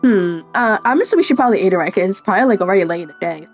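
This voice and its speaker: Lively woman narrating a visual novel. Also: mid-range voice, rapid-fire, soft background music. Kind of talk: dramatic read-aloud narration with casual asides.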